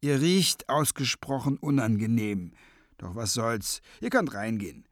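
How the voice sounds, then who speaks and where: A man's voice: mid-range voice, sing-song, measured pace, no room sound, studio quality. Man playing a brusque, disgusted sorcerer guard. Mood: scornful. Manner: blunt, sardonic, dismissive.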